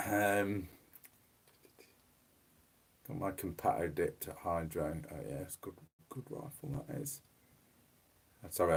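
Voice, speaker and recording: A man hosting a casual livestream from his phone, hoarse from losing his voice, rambling and repeating words as he reads comments. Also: on the low side. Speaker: livestream host. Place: small home room. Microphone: mobile phone.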